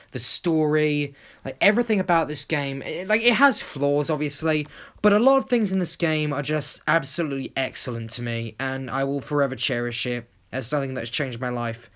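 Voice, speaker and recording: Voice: mid-range voice, lively intonation, normal speed, fairly bright tone. A YouTube creator giving a casual, enthusiastic voice-over that rambles along like an informal chat.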